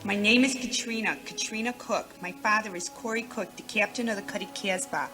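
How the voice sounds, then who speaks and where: A woman's voice: medium pitch, a little fast, music in the background, lightly acted. A woman playing a melodramatic femme fatale client in an old-time radio detective parody. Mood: dramatic.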